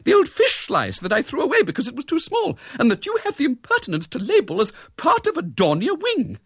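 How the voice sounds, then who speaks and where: A tiny, prim and scathing storybook lady. Every word is precise and outraged, pitched extremely high with sharp swoops. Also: no echo, clean studio sound, heavily acted. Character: small, precise, prim spinster, quivering with indignation.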